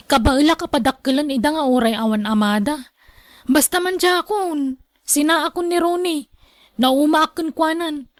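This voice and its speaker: High-voiced headstrong young mother. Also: a little slow, no echo, studio quality. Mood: defiant, unbothered. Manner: defiant, dismissive. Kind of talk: Ilocano radio drama acting.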